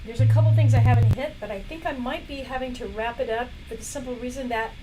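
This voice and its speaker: A woman hosting a casual product demo live stream. She is losing her voice and keeps coughing and clearing her throat between chatty remarks. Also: on the high side, quick pace, lo-fi sound, noisy background. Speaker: live shopping stream host. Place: indoor workplace studio.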